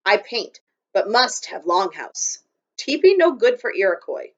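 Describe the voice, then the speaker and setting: A woman's voice: mid-range voice, sing-song, measured pace, a bit bright, dull audio. A woman voicing a stern, proud tiny warrior man who speaks in clipped, broken, commanding phrases.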